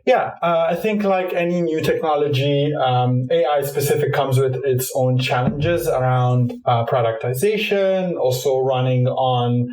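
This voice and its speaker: A man's voice: medium pitch, unhurried, few pauses, darkish tone. Man in a roomy, distant recording. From Cairo, Egypt. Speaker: product manager, podcast guest. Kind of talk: interview answers, explanatory. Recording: roomy echo, distant from mic.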